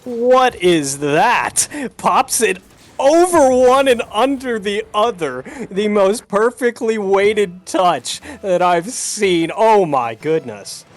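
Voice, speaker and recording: A man's voice: high-pitched, expressive, slow pace. A man doing live play-by-play commentary of a video game match, using sportscaster slang. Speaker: esports commentator, play-by-play caster.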